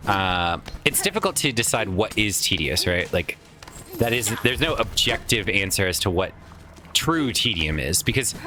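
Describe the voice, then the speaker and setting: A man casually commenting while playing a video game, chatty and rambling with some swearing, his voice tired from a lot of recent use. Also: lowish voice. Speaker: let's play host.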